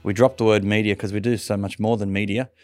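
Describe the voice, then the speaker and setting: A man's voice: fairly low pitch, little pitch movement, fast, darkish tone. A middle-aged man from Sydney with an Australian accent, telling his own story conversationally as an interview guest.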